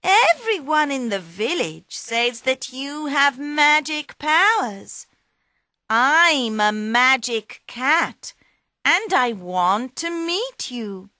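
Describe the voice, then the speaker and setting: Woman doing a high sly talking cat. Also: drawn-out words, dry recording, studio quality, exaggerated voice. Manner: high, excited and coaxing. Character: clever male talking cat, sly and lively.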